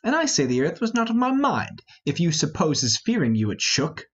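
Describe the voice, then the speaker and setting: A man's voice: a little high, wildly swooping pitch, normal speed, fairly bright tone, slightly rough, lo-fi sound, full character voice. A man playing a hot-headed, mocking young rebel nobleman in a Shakespearean play reading, scoffing and impatient.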